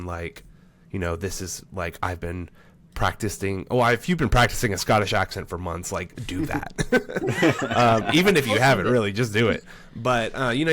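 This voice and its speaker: A man's voice: on the low side, fairly bright tone, a little hiss or hum. A man co-hosting a casual tabletop gaming discussion, explaining his point at length with hedges and fillers. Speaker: podcast co-host and player.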